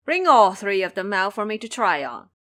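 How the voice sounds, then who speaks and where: Woman putting on a loud, whining foolish pig-man. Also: medium pitch, very animated, moderate pace. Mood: eager. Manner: loud, pleading, exclamatory. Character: foolish, whining, lustful male pig monk disciple.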